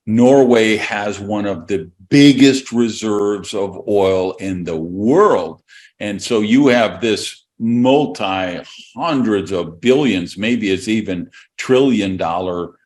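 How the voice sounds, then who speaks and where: Slow, low-voiced American man. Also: a little mellow. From Madison, Wisconsin, USA. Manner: reflective, drawling, searching for words. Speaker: podcast host. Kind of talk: casual conversation.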